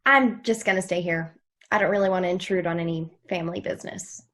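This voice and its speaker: A woman's voice: lowish voice, quick pace, a bit bright, lightly acted. A woman reading the part of a guarded, hot-tempered woman in a TV drama table read, blunt and casual. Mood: guarded, reluctant.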